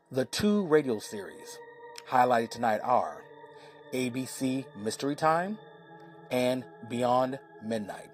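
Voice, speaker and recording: A man hosting a podcast, reading a scripted introduction in deliberate phrases with dramatic pauses. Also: medium pitch, a little mellow. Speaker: podcast host. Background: music.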